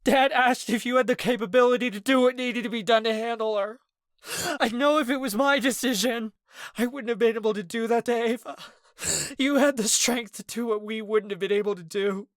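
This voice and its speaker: Sobbing, enraged young man; pitched high, strained, breaking. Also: brisk, dry recording, studio quality. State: crying. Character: young man, grief-stricken, sobbing and furious.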